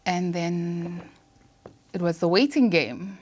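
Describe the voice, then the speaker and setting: A woman's voice: fairly low pitch, slow. A woman in her thirties with a South African accent, answering conversationally as an interview guest. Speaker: interview guest, air traffic controller.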